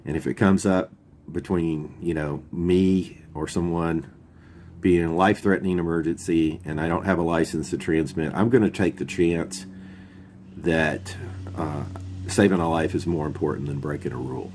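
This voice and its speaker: A man's voice: low-pitched, steady tone, moderate pace, a little mellow, a little hiss or hum. A man hosting a video, explaining gear in an informal, folksy, plain-spoken way. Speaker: YouTube channel host. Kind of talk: casual product overview, explaining radio gear.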